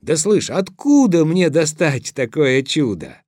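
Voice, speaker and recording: Man as a folksy young peasant lad, high-pitched. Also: very animated, takes it slowly, darkish tone, no room sound, studio quality, full character voice. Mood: cheeky. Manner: folksy, protesting, pleading. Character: cheeky, simple young peasant lad, stubborn but easily frightened. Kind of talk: dramatized verse fairy-tale reading.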